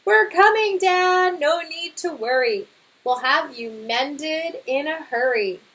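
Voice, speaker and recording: A woman's voice: high-pitched, lively intonation, takes it slowly, a bit bright, pitched above the performer's own voice, full character voice, rough recording. A woman voicing an eager, helpful young bear scout in a storybook read-aloud, earnest and emphatic. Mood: reassuring.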